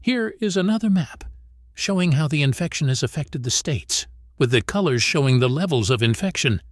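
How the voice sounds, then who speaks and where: A man's voice: on the high side, expressive, moderate pace, dry recording, studio quality. A man giving an explanatory briefing, walking listeners through information. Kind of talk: explanatory briefing with visual aids.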